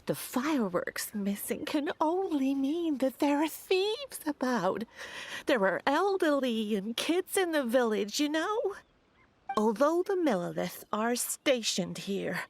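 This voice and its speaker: A woman playing an elderly village granny in a video game, worried and respectful, with a halting, wavering delivery. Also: on the high side, sing-song, gravelly, full character voice. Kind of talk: video game character acting.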